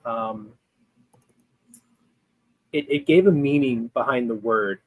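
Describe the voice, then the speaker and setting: A man's voice: medium pitch, slow, dry recording, narrow, tinny audio. A man appearing as a guest expert, explaining history in a conversational way with frequent ums and restarts. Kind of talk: explaining history in casual chat.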